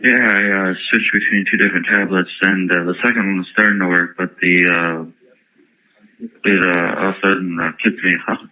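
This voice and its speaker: Halting low-voiced man on muffled phone. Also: steady tone, sharp tone. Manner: halting, hesitant, filler-heavy. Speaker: jail inmate on a phone call. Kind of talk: casual phone interview. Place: jail phone line. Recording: muffled lo-fi jail phone recording.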